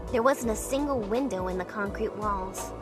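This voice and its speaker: A woman playing an anxious, curious young woman who narrates her own story in a measured, introspective way for a visual novel fan dub. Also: mid-range voice, close to an everyday voice. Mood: musing. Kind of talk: first-person scripted narration. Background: music.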